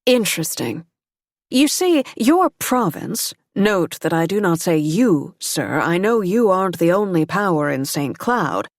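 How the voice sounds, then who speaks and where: Woman doing a haughty political wife, loud and preachy, high and firm, dry mic. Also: big pitch swings, unhurried, no echo, heavily acted. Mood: pointed. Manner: projecting, lecturing.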